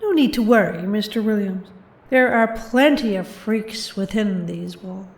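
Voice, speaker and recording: Poised, ominous mansion hostess. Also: female voice, medium pitch, breathy edge. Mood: courteous, ominous. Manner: formal, measured, knowing. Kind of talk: horror audio drama acting.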